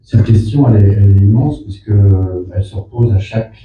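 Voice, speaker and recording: Low-voiced man, tinny and distant. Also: a little fast. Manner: reflective, many fillers and repeats. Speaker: film director. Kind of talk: press interview. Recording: tinny echoey room from far off.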